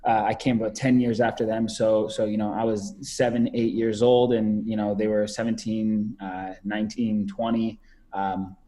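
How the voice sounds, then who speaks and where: A man's voice: fairly low pitch, level tone, moderate pace, mellow. A man in his twenties, a podcast guest talking casually over a video call, rambling with many fillers and repeated words. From Canada. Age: 20s.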